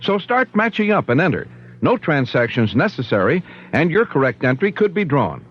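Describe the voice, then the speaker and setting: Male commercial announcer: upbeat salesman, higher voice, lively inflection, lo-fi 1970s radio sound. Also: normal speed, breathy edge. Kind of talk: scripted 1970s radio ad read. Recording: dull lo-fi 1975 radio broadcast.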